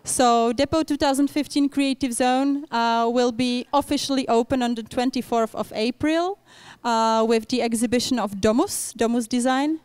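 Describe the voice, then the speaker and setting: A young woman moderates a public debate in English, stopping often to search for words. Her voice is very clear and sits a little high. The sound is dry and close. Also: fairly even intonation, normal speed, a little mellow, dry recording. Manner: frequent fillers and restarts, non-native English. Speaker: event organizer and moderator. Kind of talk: hesitant public speaking at a panel event.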